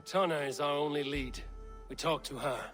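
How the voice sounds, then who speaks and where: An actor playing a steady, resolute Viking raider in a game, plain and firm in his talk. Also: on the high side, normal speed, soft background music, lightly acted. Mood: firm, measured.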